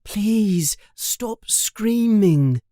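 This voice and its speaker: Woman reading as schoolboy wizard, storybook style, earnest, bewildered, questioning, very swooping pitch, slow pace. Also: very animated, darkish tone, no room sound, clean studio sound. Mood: urgent, pleading.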